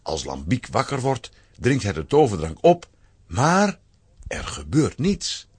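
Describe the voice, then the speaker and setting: A man narrating a scripted children's audio play as an expressive storyteller. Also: mid-range voice, measured pace, slightly rough.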